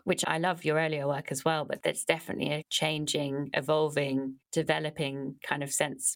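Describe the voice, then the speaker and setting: A woman's voice: sits low, gravelly. A woman hosting a podcast interview, thinking aloud in a reflective way and often saying "kind of" and "you know". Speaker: podcast host. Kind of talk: interview conversation.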